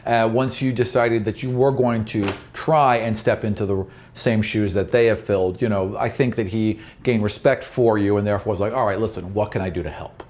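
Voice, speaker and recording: A man's voice: on the low side, steady tone, brisk, hardly any pauses, darkish tone. A man working as game master, talking through a fantasy setting in conversation.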